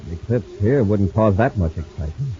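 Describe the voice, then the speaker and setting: A man playing a brisk space patrol commander. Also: lowish voice, expressive, mellow, lightly acted. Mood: steady. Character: steady male space patrol commander, calm leader. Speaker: commander giving orders. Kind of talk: 1950s radio drama acting. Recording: muffled old radio recording with faint hiss.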